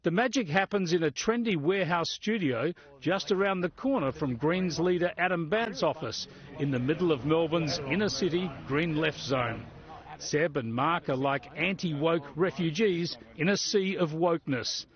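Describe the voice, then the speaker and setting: A man's voice: fairly high pitch, lively intonation, moderate pace, lo-fi sound. An Australian man narrating a scripted television feature as a reporter.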